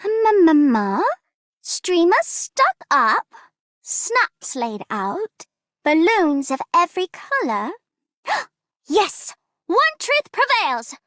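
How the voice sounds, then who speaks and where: A woman voicing an excitable, dramatic young female detective in a video game, exclamatory and theatrical. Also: very high voice, drawn-out words, dry recording, studio quality, heavily acted. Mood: excited, dramatic. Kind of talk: English video game character voice lines.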